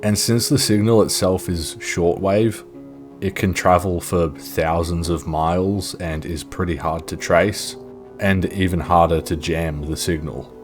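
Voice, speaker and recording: A man's voice: deep voice, darkish tone. A man narrating an explainer in a casual, informal and offhand way. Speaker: video host and narrator. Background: music.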